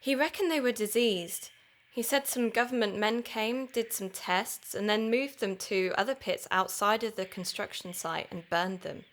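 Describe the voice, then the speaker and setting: A woman's voice: medium pitch, moderate pace, noisy background, natural voice. A woman playing an earnest, curious young investigative podcaster in a naturalistic audio drama, talking as if thinking aloud. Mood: keen, informative. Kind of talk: naturalistic audio drama acting, narrating into recorder.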